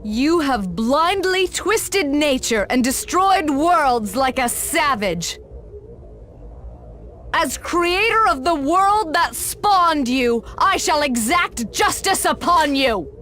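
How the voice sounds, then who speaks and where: A woman's voice: high voice, sing-song, slow, a bit bright, slight background noise, full character voice. A woman playing a proud, righteous warrior goddess in a fantasy game, solemn, formal and commanding. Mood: defiant, wrathful. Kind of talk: dramatic fantasy game acting. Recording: voice over music.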